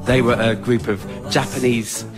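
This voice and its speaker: A man's voice: mid-range voice, little pitch movement, moderate pace, over loud music. Man telling a comic story on stage. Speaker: writer-performer of a one-man spoken word show. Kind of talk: scripted autobiographical comic storytelling to a live audience. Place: on stage in a radio theatre with a laughing audience.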